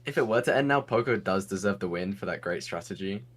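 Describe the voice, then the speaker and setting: Chatty young English commentator, low voice. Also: male voice, animated, quick pace, slightly rough. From England. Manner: chatty, laughs often. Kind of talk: casual online race commentary.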